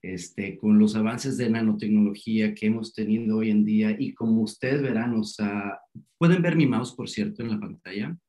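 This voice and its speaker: A man's voice: fairly low pitch, moderate pace, dark tone. A man giving an informal scientific talk over a video call, peppering his speech with "este" fillers. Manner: casual, filler-heavy, says "este" a lot. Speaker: guest lecturer. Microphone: video call microphone.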